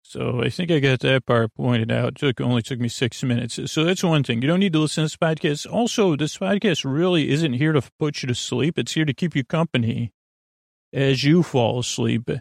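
A man hosting a bedtime podcast in a rambling, halting monologue full of digressions, with a gravelly, creaky voice. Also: mid-range voice, no room sound, studio quality. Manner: meandering, halting, full of digressions and trailing thoughts. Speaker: podcast host.

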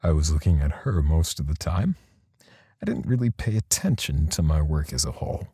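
A man playing a bitter, defensive portrait artist in an audio drama, speaking haltingly with sighs and pauses. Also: rumbling low, sing-song, warm tone, husky, dry recording, studio quality, full character voice. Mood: unsettled. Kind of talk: audio drama dialogue and first-person narration.

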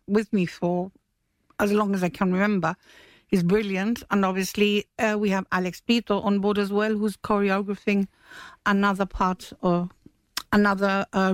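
A woman's voice: medium pitch, no echo. A woman chatting as a guest in a radio interview. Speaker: radio guest, stage manager. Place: radio studio.

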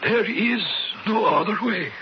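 A solemn elder guide in an eerie radio play, his voice unusually high for a man and slowly measured. The old recording is muffled, with faint hiss. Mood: insistent. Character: solemn elder guide of a blind cave-dwelling people. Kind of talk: 1970s radio drama acting.

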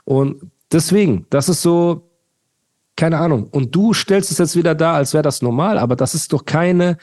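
A man's voice: a little high, normal speed, a little mellow. A young man co-hosting a podcast, ranting heatedly and trading insults while talking over his partner. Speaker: podcast co-host. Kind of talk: casual podcast chat and rant.

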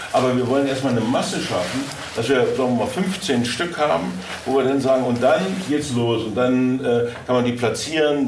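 A man speaking spontaneously on a panel as a producer, haltingly searching for words and repeating them, recorded in an echoey room. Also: mid-range voice. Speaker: audio drama producer on a convention panel. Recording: echoey room, distant mic, faint noise.

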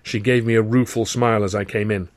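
A man reading a first-person audiobook in a wry, expressive storytelling manner. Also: fairly low pitch, fast, dry recording. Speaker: author reading own novel.

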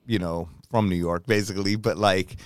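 A man's voice: deep voice, fairly even intonation, normal speed, clean tone, no room sound. An American man hosting a podcast in casual, slangy, laid-back interview chat. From Los Angeles. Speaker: podcast host.

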